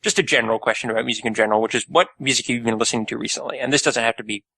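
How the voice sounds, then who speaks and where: Male podcast host, quick talker, jokey and teasing, bright tone. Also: medium pitch, fairly even intonation. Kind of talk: casual podcast chat.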